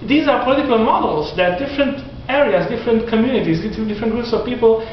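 A man in his forties giving a classroom lecture, animated and gesturing, often restarting his sentences. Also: very high-pitched, fast talker, slight background noise. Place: classroom in front of whiteboard. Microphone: far camera. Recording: echoey classroom from far off, dull lo-fi.

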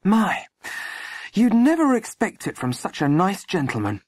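A narrator voicing a feisty, flustered, gossipy woman in a storybook, loud and indignant. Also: medium pitch, sing-song, measured pace, voice pushed up. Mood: astonished.

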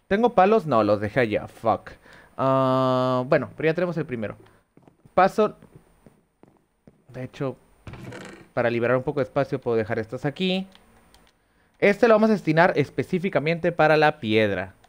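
Young Mexican man streaming, lively pitch. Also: moderate pace, pauses often, clean tone. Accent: Mexican Spanish. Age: young adult. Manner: chatty, swears casually, thinks aloud while playing. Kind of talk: casual gameplay chat with viewers. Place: small room at home. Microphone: headset.

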